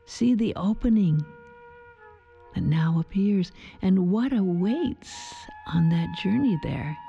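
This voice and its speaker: A woman leading a guided meditation in a soft, soothing, gentle voice, with poetic phrasing. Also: mid-range voice, lively intonation, slow pace, creaky, dull audio. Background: soft music.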